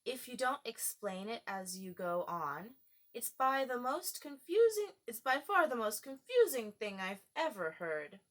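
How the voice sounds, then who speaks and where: A woman's voice: a little high, very animated, a little slow, a bit bright, pitched above the performer's own voice. A woman voicing an easily offended, persistent mock turtle in a storybook, earnest and querulous. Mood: puzzled, persistent.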